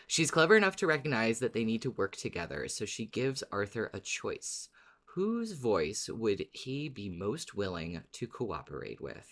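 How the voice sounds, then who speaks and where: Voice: medium pitch, big pitch swings, normal speed, a bit bright. A podcast co-host recapping and chatting casually, with enthusiasm, playfulness and theatrical emphasis.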